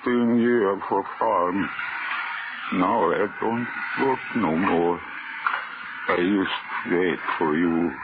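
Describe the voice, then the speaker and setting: An actor playing a drowned man's vengeful ghost, slow and mock-friendly, in 1930s radio horror. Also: deep voice. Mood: taunting. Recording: tinny, noisy 1930s radio recording.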